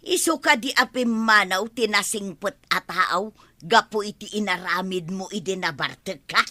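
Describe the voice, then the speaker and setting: An actress as a grown village woman in a radio drama. She sounds firm and reproachful, with a mid-pitched voice and measured delivery. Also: pushed and bright, no echo, close to an everyday voice.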